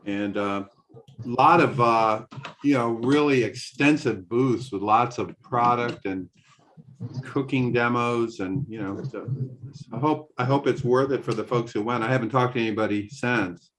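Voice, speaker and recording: A man's voice: mid-range voice, unhurried, fairly bright tone, a little hiss or hum, low-quality recording. A man hosting a casual group video call, joking around and rambling with lots of fillers. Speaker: host of a live video call.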